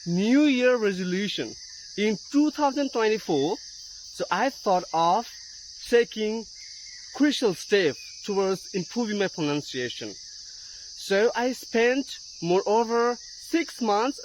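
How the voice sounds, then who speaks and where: A woman's voice: medium pitch, expressive, rough recording. Woman with an Indian-American mixed accent. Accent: Indian English mixed with American. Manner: earnest, explaining, with halting restarts. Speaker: English teacher. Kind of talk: casual talk to camera. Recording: loud insect and cricket noise behind. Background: loud crickets and insects.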